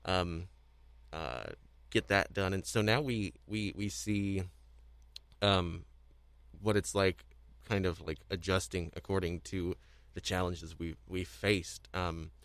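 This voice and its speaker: Low-voiced young man hosting a podcast. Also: fairly even intonation, a bit bright, clear, resonant tone, no room sound. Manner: halting, repeats words, agreeable interjections. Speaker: podcast host. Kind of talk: casual podcast discussion.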